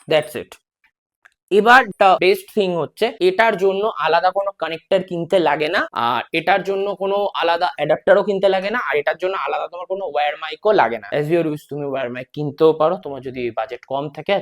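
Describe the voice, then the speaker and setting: A vlogger casually walking through a gear setup step by step, speaking informally and directly to the viewer with English words mixed in. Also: medium pitch. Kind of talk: casual product walkthrough, explaining step by step.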